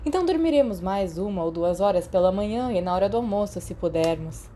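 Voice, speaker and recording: A woman's voice: mid-range voice, expressive, a little fast, mellow, a little hiss or hum, natural voice. A woman voicing an easygoing, tired teenage boy in an audiobook, matter-of-fact, practical and friendly. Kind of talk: audiobook character voice in Brazilian Portuguese.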